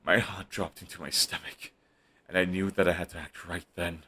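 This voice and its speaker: A man's voice: deep voice, flat delivery, normal speed, breathy edge, heavily acted. A man voicing a brooding villain who is defensive and lovestruck, talking close and intimately to the listener. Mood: hushed, tender. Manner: intimate, shifts between hushed and heated. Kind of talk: audio roleplay monologue.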